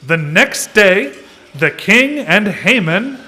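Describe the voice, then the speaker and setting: A man's voice: high-pitched, sing-song, slow, bright, forceful tone. A man narrating a children's puppet show in a storytelling style, recorded live in a social hall. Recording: faint noise in social hall.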